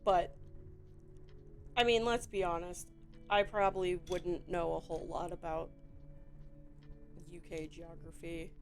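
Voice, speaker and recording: A Midwestern woman with a Chicago accent, chatting in a rambling, relaxed way while she builds a toy set on stream. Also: on the low side, faint music behind. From Midwestern United States. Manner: chatty, rambling, slow and drawn out, with frequent fillers.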